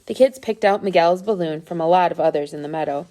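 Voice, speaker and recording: A woman's voice: fairly low pitch, fast, mellow. A woman reading a children's adventure story aloud as scripted narration. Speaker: storybook reader.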